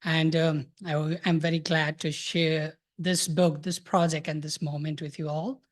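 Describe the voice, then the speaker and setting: A man in his thirties from Nepal, giving a hesitant, soft-spoken talk about his thesis book to an audience. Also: fairly high pitch, little pitch movement, measured pace. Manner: soft-spoken, hesitant with many filler sounds. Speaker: thesis presenter.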